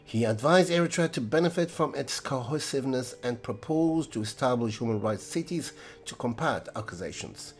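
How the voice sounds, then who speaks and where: A man's voice: medium pitch, lively intonation, moderate pace, hardly any pauses. A man reading a scripted news-style voice-over summarizing a panel event. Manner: reportorial, reading. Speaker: narrator.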